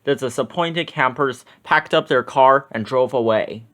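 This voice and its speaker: A man reading a children's story aloud in an expressive narrating style. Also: medium pitch, unhurried, slight background noise. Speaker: storybook reader.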